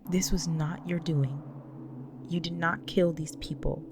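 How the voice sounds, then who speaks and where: A woman playing a gentle, protective vampire wife in an intimate audio roleplay, speaking tenderly in a breathy, near-whispered voice. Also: low voice, steady tone. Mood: firm, reassuring. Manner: tender, slow, soothing. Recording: noisy track with loud ambient sound.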